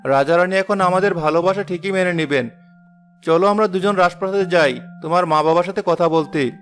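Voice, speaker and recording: A man's voice: high voice, a little fast, soft background music, lightly acted. A man voicing a humble, ordinary young villager in a fairy-tale cartoon. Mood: reassuring.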